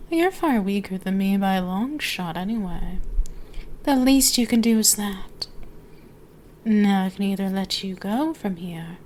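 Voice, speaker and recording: A woman voicing a seductive, teasing, dominant ancient female dragon in an ASMR roleplay, speaking intimately to the listener in a drawn-out way that often dips toward whispers. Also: medium pitch, slight background noise. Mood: commanding, seductive.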